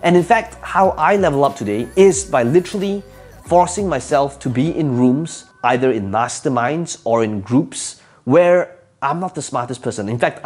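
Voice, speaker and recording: A man whose first language is Cantonese delivers motivational advice in a slightly husky voice at a middle pitch. He speaks emphatically, answers his own rhetorical questions and hammers home key phrases, with soft music underneath. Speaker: entrepreneur giving advice. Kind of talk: motivational talk to camera.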